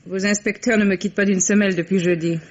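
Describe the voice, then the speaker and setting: A woman's voice: medium pitch, fairly even intonation, quick pace, close to an everyday voice. An actress playing a cynical, defiant woman suspected of murder in a vintage French radio mystery, cool and sardonic. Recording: dull old radio-play recording.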